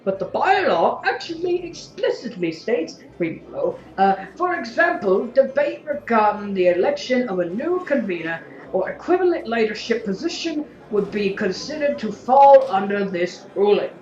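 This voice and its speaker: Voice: mid-range voice, sing-song, moderate pace, few pauses, a little mellow, lo-fi sound, natural voice. Echoey, argumentative young revolutionary played by an actor. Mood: sarcastic. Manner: argumentative, sardonic, tags sentences with "yeah?". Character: fiery, sarcastic young revolutionary council member. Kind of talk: audio drama acting, debate speech.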